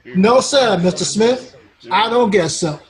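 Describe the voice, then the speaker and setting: A man's voice: sits high, lively intonation, measured pace. A man playing a humble, devout townsman in a radio western, polite and meek in manner. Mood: humble, earnest. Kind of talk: old-time radio western acting.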